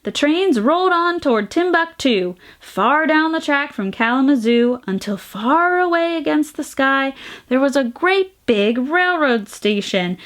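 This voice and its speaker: A woman hosting a children's storytime, reading aloud in an animated, sing-song way with exaggerated emphasis and playful sound effects. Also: high-pitched. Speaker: storytime host reading a picture book aloud.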